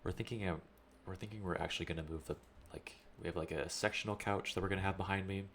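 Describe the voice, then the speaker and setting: A young man with a low voice, chatting casually and thinking aloud while streaming a game. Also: steady tone, fast, a bit bright, a little hiss or hum. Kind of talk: casual let's-play chat.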